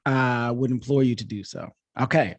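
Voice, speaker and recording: A man's voice: mid-range voice, normal speed. Casual, enthusiastic male podcast host. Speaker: coach and teacher.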